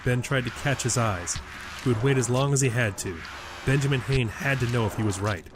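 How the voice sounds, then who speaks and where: A man's voice: medium pitch, brisk, noisy background. A man reading a scripted fantasy story as a dramatic storyteller.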